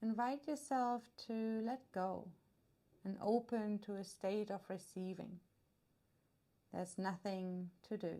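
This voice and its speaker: Really slow soothing lady doing a guided relaxation, soft dark mellow voice, lots of pauses. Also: medium pitch, fairly even intonation. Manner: soothing, unhurried instruction with long pauses. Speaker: yoga nidra teacher. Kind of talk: guided meditation narration.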